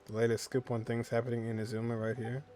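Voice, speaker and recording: A man's voice: medium pitch, completely flat delivery, fast talker, mellow. A young man casually commenting while playing a video game, chatty with joking asides. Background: faint game music.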